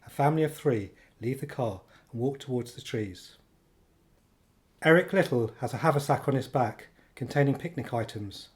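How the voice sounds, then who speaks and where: A man playing the matter-of-fact narrator of a radio play, measured and descriptive as he sets the scene. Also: mid-range voice, animated, natural voice.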